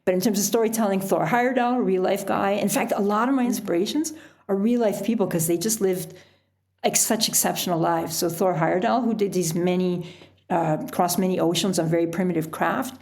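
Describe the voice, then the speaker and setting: A woman talking casually as an interview guest, telling stories in an animated way with asides. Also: medium pitch, a little fast. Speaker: podcast guest, author.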